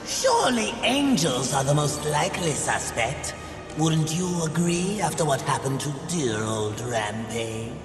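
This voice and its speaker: An actor voicing a sly, mock-courteous demon in a video game, theatrical and taunting with a gravelly edge. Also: male voice, fairly high pitch, very animated, unhurried. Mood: sly insinuating. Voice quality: creaky, gravelly. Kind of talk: dramatic video game acting. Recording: echoey hall reverb, music behind.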